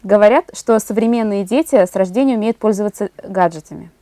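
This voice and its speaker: Dark, mellow-voiced woman interviewer. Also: mid-range voice, a little fast, no room sound, soft background music. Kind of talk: prepared interview questions.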